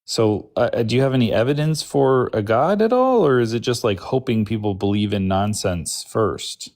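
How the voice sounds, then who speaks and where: A man's voice: mid-range voice, animated, normal speed, a little mellow. A male podcast co-host pushing back on a caller in a dry, skeptical way. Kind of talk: casual debate chat.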